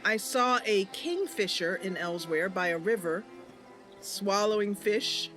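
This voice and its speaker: A woman's voice: mid-range voice, animated, measured pace, fairly bright tone. A woman narrates her gameplay livestream in a casual, chatty style, talking to viewers as she plays. Faint music runs behind her voice. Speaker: live streamer.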